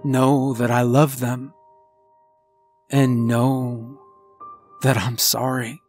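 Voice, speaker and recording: A man voicing a remorseful werewolf husband and father confessing in a grave, halting, emotional horror story. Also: medium pitch, flat delivery, darkish tone. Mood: anguished, regretful. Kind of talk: dramatic first-person horror storytelling. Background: background music.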